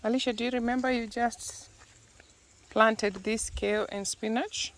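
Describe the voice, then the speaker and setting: A woman's voice: on the high side, fairly even intonation, normal speed. A woman chatting casually as a mother filming a family vlog, prompting and encouraging a child with questions and short praise. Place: outdoors at a village farm.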